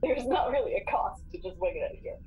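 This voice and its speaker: A woman's voice: fairly high pitch, animated, a little fast, breathy edge. A woman talking casually as a player in a tabletop game, planning moves aloud. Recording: dull lo-fi mic, background noise.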